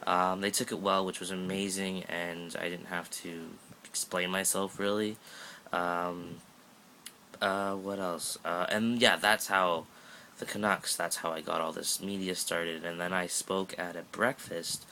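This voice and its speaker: Teen guy rambling into his phone, low voice but bright and forceful, lots of ums, faint hiss behind. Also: little pitch movement, measured pace. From Canada. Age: teens. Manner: rambling, chatty, jokey, many fillers and restarts. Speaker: vlogger. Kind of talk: casual update vlog. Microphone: phone held up at arm's length.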